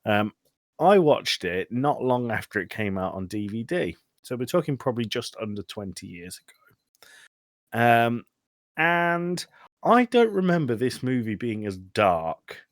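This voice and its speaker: A man's voice: on the low side, no echo, clean studio sound. A man hosting a casual film review chat in a blunt, sweary, exasperated way. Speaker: podcast host.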